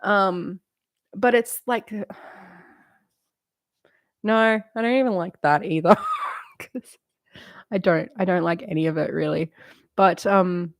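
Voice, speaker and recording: A woman's voice: lowish voice, slow, pauses often, no room sound, studio quality. Candid, swearing woman in her late thirties. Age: late 30s. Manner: candid and rambling, swears freely, laughs often. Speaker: streamer. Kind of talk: casual chat on a live stream.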